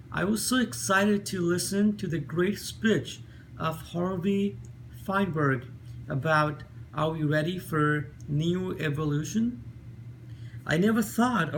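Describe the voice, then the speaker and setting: A man from Nepal with a Nepali accent, reading an earnest talk with careful pauses and small slips. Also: high-pitched, fairly bright tone, slight background noise. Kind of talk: read-aloud video talk.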